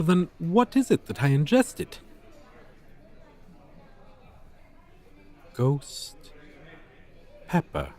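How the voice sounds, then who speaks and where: A man's voice: fairly high pitch, a little mellow, exaggerated voice. A man voicing a proud, centuries-old dragon teacher with stilted, archaic, formal diction in an ASMR roleplay. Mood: incredulous, baffled.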